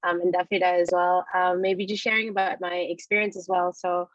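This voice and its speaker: Woman, 30s, from Indonesia. Hesitant, repetitive panel talk in a slightly low voice, muffled video-call sound. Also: little pitch movement, dry recording. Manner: hesitant, frequent pauses and repeated phrases. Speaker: panelist, children's science programme co-founder. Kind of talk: informal panel sharing. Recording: dull, lo-fi video call audio.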